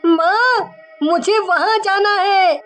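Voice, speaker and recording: Excited little kid for a story, squeaky-high, eager, performed by a woman. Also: takes it slowly, soft background music, exaggerated voice. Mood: pleading. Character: excited, toy-loving young child.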